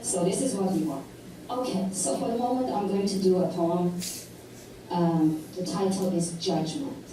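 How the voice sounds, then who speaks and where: A woman poet giving a measured, deliberate poetry reading at a public talk in a lecture hall. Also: lowish voice, background noise. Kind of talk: slow recited poetry reading. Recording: echoey hall, distant mic.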